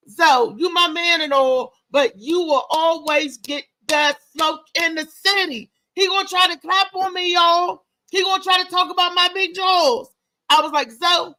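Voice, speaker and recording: High-voiced, brash woman hosting live. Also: fairly bright tone, rough recording. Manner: brash, sassy, slangy. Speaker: live show host and comedian. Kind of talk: casual live morning show chat, ranting.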